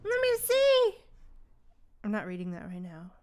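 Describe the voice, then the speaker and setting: A woman's voice: mid-range voice, huge pitch swings, unhurried, darkish tone. A woman livestreaming a game, chatting casually and reacting with exclamations and joking, slangy asides.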